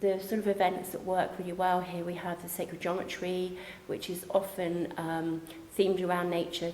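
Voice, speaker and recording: A woman's voice: fairly low pitch, darkish tone, a little hiss or hum. A woman on a college's staff speaking earnestly and explaining things in an informal promotional interview. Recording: echoey reverberant room, music behind. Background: background music.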